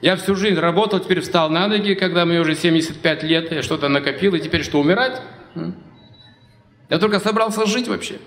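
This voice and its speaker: A man's voice: high-pitched, a little fast, fairly bright tone. A man acting out a proud, indignant old man who refuses to die, as part of a sermon. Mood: indignant protest. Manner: protesting, self-important. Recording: echoey hall with long reverb.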